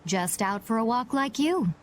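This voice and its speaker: Game role: cool young adventurer, female actor, fairly high voice, guarded and teasing, noisy background. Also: expressive, moderate pace, close to an everyday voice. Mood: coolly teasing. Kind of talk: video game voice acting.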